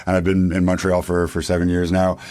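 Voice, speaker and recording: A man's voice: deep voice, completely flat delivery, a little fast, darkish tone. A man from Quebec with a Canadian English accent, talking casually as a podcast interview guest. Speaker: interview guest, bar owner and beverage director.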